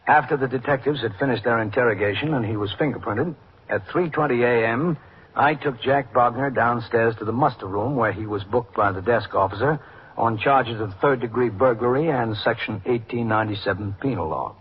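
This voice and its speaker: An actor playing a sober, methodical police captain, narrating formally and factually in a radio drama. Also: male voice, mid-range voice, fairly quick, natural voice. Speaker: narrator and lead character. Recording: noisy muffled old radio recording.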